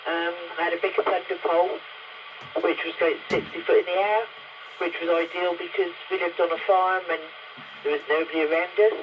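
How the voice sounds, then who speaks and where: A woman's voice: on the low side, fairly even intonation, a little fast. A woman, chatting in a plain, colloquial way over CB radio. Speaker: CB radio operator. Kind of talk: casual radio chat. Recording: dull, very noisy CB radio link.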